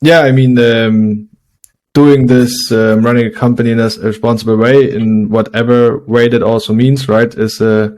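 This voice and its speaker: A man hosting a podcast interview in a casual way, haltingly searching for his words as he talks. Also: mid-range voice, steady tone, darkish tone.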